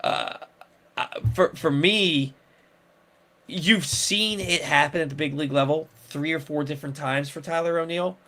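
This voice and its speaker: A man talks sports in a loose, rambling way, restarting his sentences and padding them with fillers. His voice sits a little higher than most men's. He hosts the show in a casual chat style. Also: unhurried, bright, forceful tone. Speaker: sports podcast host.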